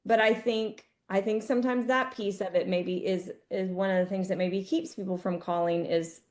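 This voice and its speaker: A woman co-hosting a podcast, chatting casually and thinking out loud, often restarting her sentences and acting out little quoted asides. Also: mid-range voice, fairly even intonation, normal speed. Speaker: podcast co-host.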